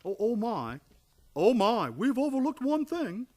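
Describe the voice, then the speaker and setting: A man's voice: very high-pitched, big pitch swings, unhurried, darkish tone, exaggerated voice. A man acting a fussy, polite sanitarium official, formal and fluttery, in a radio play comedy.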